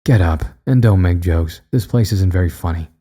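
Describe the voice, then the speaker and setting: Man playing a stern, dark-voiced male guide. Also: low voice, normal speed, husky. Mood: serious, brusque. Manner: curt, commanding. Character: stern, serious male guide, critical and brusque. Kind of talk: audio storybook character voice.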